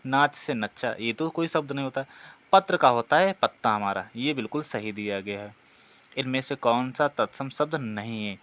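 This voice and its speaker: A man's voice: medium pitch, normal speed, fairly bright tone. A man teaching an exam practice lesson, reading out questions and explaining the answers in a didactic way, often asking and answering himself.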